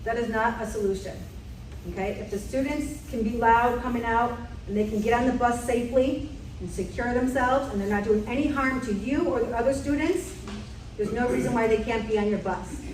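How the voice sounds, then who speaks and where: A woman's voice: a little high, brisk, a little mellow, a little hiss or hum. Woman leading a safety quiz, echoey. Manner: direct, quizzing the room. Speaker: safety trainer. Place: meeting room. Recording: echoey room from far off.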